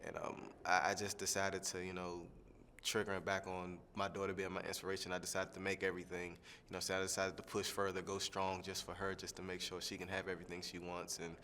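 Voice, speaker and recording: A young man, a singing contestant, answering interview questions in a relaxed, rambling way with lots of fillers. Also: deep voice, fast talker, long reverb tail, a little hiss or hum. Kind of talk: press junket soundbite interview.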